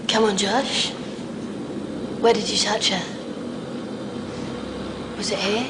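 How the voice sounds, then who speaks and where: A woman's voice: medium pitch, steady tone, slow pace, very noisy, natural voice. An actress playing a volatile, accusing young woman fighting for control in an intense screen drama. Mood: taunting.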